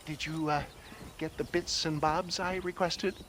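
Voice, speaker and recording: A man's voice: fairly high pitch, flat delivery, a little slow, fairly bright tone, slight background noise. An actor playing a shifty, cowardly old snake-oil salesman in a video game, with a pompous, wheedling, hemming-and-hawing manner. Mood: evasive, flustered. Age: older. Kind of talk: dramatic video game cutscene acting.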